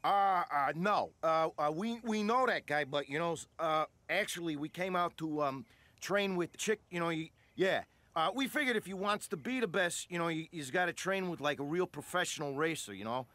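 A man playing a nervous, dim race car with a New York accent, halting and evasive, in a cartoon game. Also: on the high side, sharp tone, no echo. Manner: halting, stammering, unsure. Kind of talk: animated video game cutscene acting.

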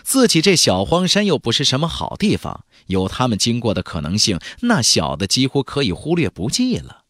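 A man's voice: mid-range voice, wildly swooping pitch, normal speed, hardly any pauses, a bit bright, no echo, studio quality. A man narrating a fantasy audiobook in a dramatic, suspenseful, theatrical storytelling style. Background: faint background music.

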